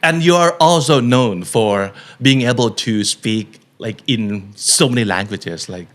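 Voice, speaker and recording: A man's voice: medium pitch, measured pace, fairly bright tone. A man hosting a casual interview in English, encouraging his guest with frequent laughs and short replies. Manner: encouraging, laughs often, many backchannels. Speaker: host.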